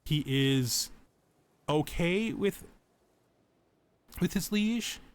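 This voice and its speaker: A man's voice: a little high, expressive, very slow, clean tone. A man giving tutorial-style commentary as a let's play host, explaining things and thinking aloud as he goes.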